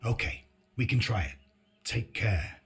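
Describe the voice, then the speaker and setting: An actor playing a stern, gruff male resistance commander in a video game cutscene, curt and commanding. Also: mid-range voice, unhurried, soft background music. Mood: wary.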